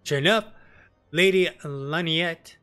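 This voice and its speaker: Dutiful woman officer , voiced by a man, fantasy game: formal, businesslike, raised pitch, light music. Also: slow, sharp tone, clear, resonant tone. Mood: dutiful, reporting. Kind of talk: fantasy game dialogue reading.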